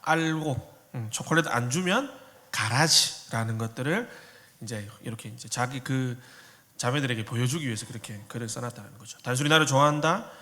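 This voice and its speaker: Joking Korean pastor, church hall reverb. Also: male voice, mid-range voice, a little fast, pushed and bright. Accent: slight Korean regional dialect. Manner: joking, conversational preaching. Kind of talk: sermon. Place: church sanctuary.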